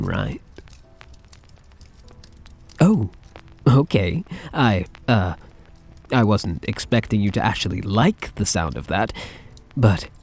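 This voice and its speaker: A man playing a soft, lovesick young mafia heir in an ASMR roleplay, speaking in a hesitant, tender, halting way. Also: on the low side, full character voice. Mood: hesitant, coaxing.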